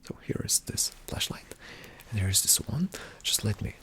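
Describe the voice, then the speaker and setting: A man performing a whispered ASMR roleplay as a calm spy agent, giving hushed, deliberate instructions in a soft, breathy, slightly creaky voice. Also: on the low side. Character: calm, attentive male spy agent briefing a partner.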